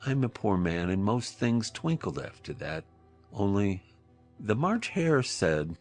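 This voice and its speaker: A man's voice: fairly low pitch, very animated, slow pace, a little mellow, faint music behind. A man playing a nervous, muddled poor hatter in an audio drama, rambling and apologetic. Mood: pleading, flustered.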